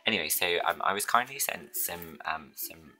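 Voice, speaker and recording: Very deep, husky-voiced man chatting. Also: animated, normal speed, pushed and bright. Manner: rambling and chatty, many ums, often drops into a whisper. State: husky, hoarse voice. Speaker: beauty vlogger. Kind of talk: get-ready-with-me chat.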